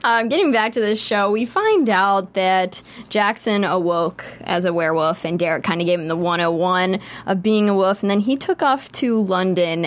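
Lowish-voiced American woman hosting. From Pittsburgh, United States. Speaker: host. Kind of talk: conversational recap hosting.

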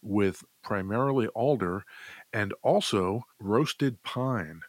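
A man's voice: on the low side, slow, no room sound. A man co-hosting a casual gear chat, explaining things informally with some light joking. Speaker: podcast co-host reviewing guitar gear.

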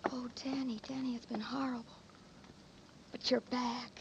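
A woman's voice: on the high side, level tone, normal speed, creaky, a little hiss or hum. An actress playing a devoted, anxious young woman in love in a 1940s radio drama, with breathless, tender, melodramatic delivery. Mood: bitter, distressed. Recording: muffled vintage 1940s radio recording.

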